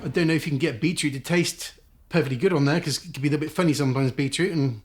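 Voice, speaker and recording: A man's voice: a little high, very fast, slightly rough. A British man talking to camera, blunt and opinionated. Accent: British English. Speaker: dinner party guest. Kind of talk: casual interview to camera.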